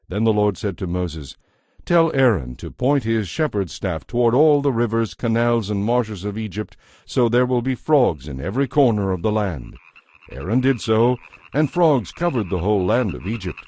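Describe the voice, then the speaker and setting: A man narrating a dramatized audio Bible as a solemn, measured storyteller. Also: on the low side, warm tone, no room sound, clean studio sound.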